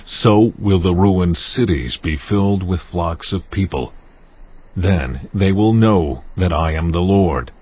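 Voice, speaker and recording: An actor playing God in a dramatized scripture reading, speaking solemnly and deliberately, in a commanding, declamatory manner. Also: male voice, low voice, a little hiss or hum. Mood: solemn, stern. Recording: dull muffled audio with music behind. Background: music.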